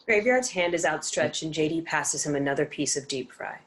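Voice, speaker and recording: A woman's voice: sits low, normal speed. A woman reading stage directions in a scripted play narration, with faint noise behind her.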